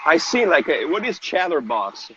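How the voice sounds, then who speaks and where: A man whose first language is Italian, a music business lecturer talking as a guest, enthusiastic but halting with many fillers. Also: a little high, big pitch swings, bright, forceful tone, husky. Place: Dublin, Ireland. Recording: dull lo-fi app call with faint noise.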